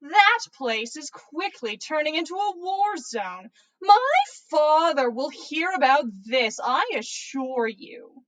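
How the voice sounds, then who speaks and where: A woman's voice: sits high, sing-song, takes it slowly, a bit bright, pitched above the performer's own voice, full character voice, poor audio. A woman voicing a spoiled teenage wizard boy with a smug, haughty drawl in a story reading.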